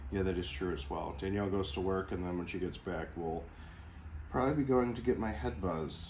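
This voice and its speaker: A man with a fairly low voice talks casually to his viewers as a book vlogger. He rambles along in an informal, slangy way, like someone giving an everyday update. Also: fairly quick, darkish tone, slight background noise. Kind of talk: casual chat to camera.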